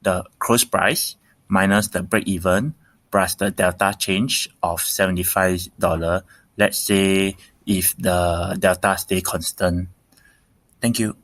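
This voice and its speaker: A man with a very low voice leaves a voicemail question in a dry recording. He talks slowly and haltingly, rewording his phrases as he works through them. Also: steady tone, a bit bright, no room sound. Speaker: caller leaving a voicemail question. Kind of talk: hesitant spoken question.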